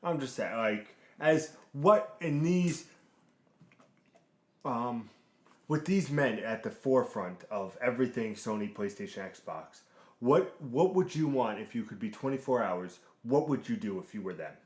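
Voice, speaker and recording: A man's voice: mid-range voice, animated, a little slow, reverberant. A man hosting a casual gaming podcast, introducing his co-hosts with playful emcee flair. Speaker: podcast host.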